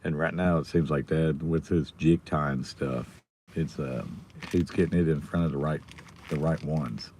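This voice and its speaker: Hoarse, raspy deep-voiced man. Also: fairly even intonation, a little slow, darkish tone, slight background noise. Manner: rambling, chatting with viewers by name. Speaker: live stream host. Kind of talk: casual live stream chat.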